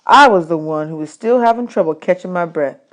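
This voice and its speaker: A woman's voice: fairly low pitch, lively intonation, fairly quick, lo-fi sound. A woman narrating a children's novel as a read-aloud audiobook. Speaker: audiobook reader.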